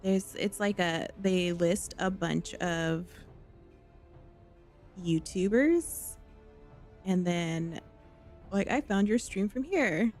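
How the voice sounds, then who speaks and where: A woman's voice: on the low side, takes it slowly, pauses often, darkish tone. A woman chatting casually while livestreaming a game, talking off the cuff to viewers. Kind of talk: casual chat while gaming. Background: game music.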